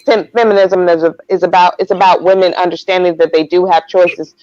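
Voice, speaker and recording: A woman's voice: lowish voice, steady tone, brisk, darkish tone, dry recording, muffled recording. A woman giving her opinions in a discussion, speaking emphatically and assertively.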